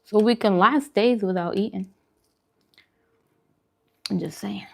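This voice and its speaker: A woman with a medium-pitched voice talks casually as she eats, in the manner of a food vlogger. Her delivery is informal and musing, like relaxed conversation with whoever is watching. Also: takes it slowly, gravelly. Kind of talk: casual mukbang chat while eating.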